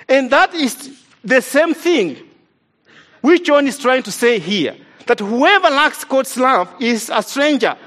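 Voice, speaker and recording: An East African man, not a native English speaker, delivers a sermon in a notably high voice. He preaches earnestly and with emphasis, repeating his main points to drive them home. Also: a bit bright. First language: an African language, not English. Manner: earnest, emphatic, repeats key lines for stress.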